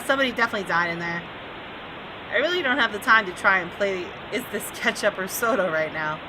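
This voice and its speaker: A woman's voice: lowish voice, noisy background. A woman hosting a gaming video, reading visual novel text aloud and reacting expressively.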